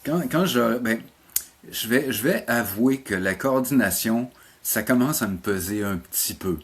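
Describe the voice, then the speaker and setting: A mature man with a Québécois French accent, speaking informally and haltingly as a guest in a casual interview. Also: medium pitch, expressive, husky. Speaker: interview guest, animation teacher and program coordinator.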